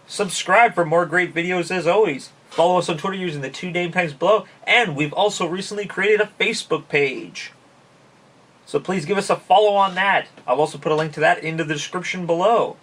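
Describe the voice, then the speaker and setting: A man's voice: sits high, brisk, a bit bright. A man from Canada hosting a casual review vlog, chatty and laughing often, with lots of fillers. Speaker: YouTube channel host. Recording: voice somewhat distant from mic.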